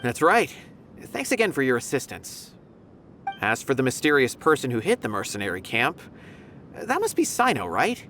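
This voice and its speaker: A man's voice: mid-range voice, wildly swooping pitch, moderate pace, bright, forceful tone, a little hiss or hum. An actor playing a polite, earnest male academy officer who reports courteously, in a video game cutscene. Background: game music.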